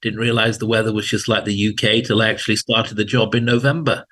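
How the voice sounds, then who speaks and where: Older Mancunian man, lowish voice, unhurried and wry as an interview guest. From England. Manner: relaxed, storytelling, dry humor. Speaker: guest, hospital chief information officer. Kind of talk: casual interview talk.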